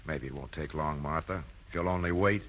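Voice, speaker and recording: A man's voice: rumbling low, a little fast, slight background noise. An actor playing a responsible, earnest young man in a 1940s radio drama. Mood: grave, earnest. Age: young adult. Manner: earnest, forceful. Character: upright, indignant, responsible grown son and fiancé. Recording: muffled old 1940s radio recording.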